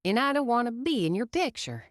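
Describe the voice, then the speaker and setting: A woman voicing a young boy race car fan who speaks in a high, eager voice. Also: big pitch swings, fairly quick, no room sound, studio quality. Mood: sulky.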